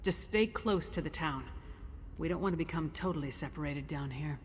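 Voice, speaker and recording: A woman's voice: sits low, moderate pace, close to an everyday voice. An actress playing a bold, battle-hardened warrior woman in a video game, blunt and commanding. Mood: commanding, wary. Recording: long cavernous reverb, faint background noise.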